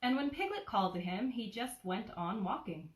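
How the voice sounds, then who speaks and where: Animated woman storyteller in a roomy space. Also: on the high side, normal speed, far from the mic. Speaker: bookseller reading a bedtime story. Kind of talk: casual introduction and storybook narration. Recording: roomy, a little off the mic.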